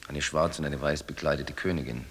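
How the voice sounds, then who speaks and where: A sardonic, wounded man in a radio drama. He talks fast and very low, with pointed, articulate delivery. There is noise behind him. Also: fairly even intonation, fairly bright tone. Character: wounded, sardonic traveller, chess-loving man. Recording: 1990s radio drama, noisy background.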